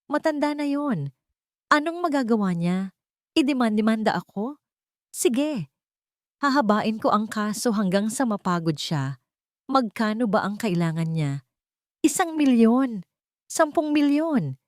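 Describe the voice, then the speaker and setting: A woman's voice: fairly high pitch, very animated, measured pace, pauses often, darkish tone, no echo, studio quality, pitched above the performer's own voice. A woman with a Filipino accent voicing an arrogant, short-tempered rich businessman in an audiobook, scornful and emphatic.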